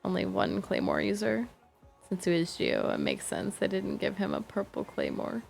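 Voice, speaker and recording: A woman livestreaming a game with casual, rambling commentary, thinking aloud in a halting way and questioning herself. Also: medium pitch, fairly even intonation, creaky, a little mellow, soft background music.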